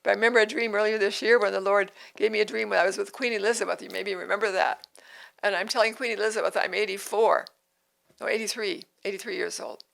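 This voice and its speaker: Woman in her 80s hosting a faith podcast, gravelly voice, earnest and repetitive. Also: medium pitch, fairly even intonation, fast, fairly bright tone. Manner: earnest, repeats phrases, restarts sentences. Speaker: podcast host. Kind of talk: devotional podcast talk.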